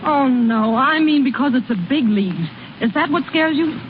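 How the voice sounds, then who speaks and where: An actress playing an earnest, persuasive young small-town storekeeper, speaking in a direct, coaxing way in old radio drama style. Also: female voice, sits high, moderate pace, natural voice. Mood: earnest, urging. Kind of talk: 1930s-40s radio drama acting. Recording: thin, narrow-band vintage radio recording, faint noise.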